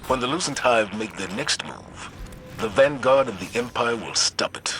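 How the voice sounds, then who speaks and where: A man playing a solemn, resolute military commander in a science fiction game, with a firm, gravelly voice and deliberate delivery. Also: medium pitch, expressive, a bit bright, slight background noise.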